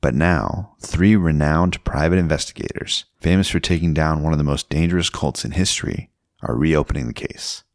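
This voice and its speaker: A man with an extremely low, gravelly voice delivers scripted promo narration for a podcast. The sound is dry and close, and the reading is dramatic. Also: moderate pace, no room sound. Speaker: podcast host introducing a show.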